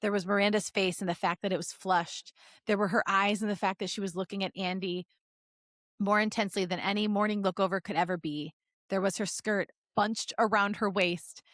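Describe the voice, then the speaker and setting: A woman's voice: mid-range voice, steady tone, a little fast, a bit bright, no room sound. Playful woman reading aloud, close dry sound. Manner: playful, breaks off for jokey asides. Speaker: podcast host reading fan fiction aloud. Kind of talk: story reading mixed with joking banter.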